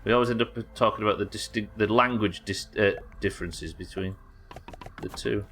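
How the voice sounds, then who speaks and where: A man's voice: on the low side, brisk, fairly bright tone, soft background music. A young British man hosting a live gaming stream, casually rambling and reading chat messages aloud as he plays. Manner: rambling, reads chat messages aloud and muses over them. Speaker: streamer host.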